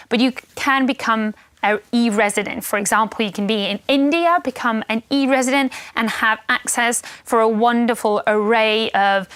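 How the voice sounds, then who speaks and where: A woman's voice: fairly high pitch, a bit bright, clean tone, no echo. A native Estonian woman with an Estonian accent gives an informational webinar presentation in an upbeat, promotional manner. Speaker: education marketing presenter.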